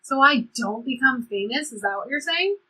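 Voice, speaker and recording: A woman's voice: high voice, animated, moderate pace, bright, forceful tone, husky, heavily acted, poor audio. A woman playing a blunt, impulsive seventeen-year-old girl in a stage play reading. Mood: defiant. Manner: blunt, impulsive teenage speech.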